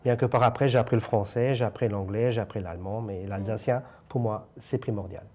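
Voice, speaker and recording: A man's voice: fairly low pitch, steady tone, fairly quick, warm tone. A man from Alsace, a theatre president, answering interview questions plainly with many fillers.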